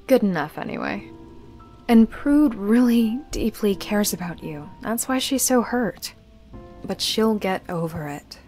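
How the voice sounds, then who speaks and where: A woman's voice: medium pitch, lively intonation, measured pace, a little mellow, faint music behind, lightly acted. A woman voicing a serious, tough but considerate young necromancer in a visual novel read aloud, speaking plainly and earnestly. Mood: reassuring.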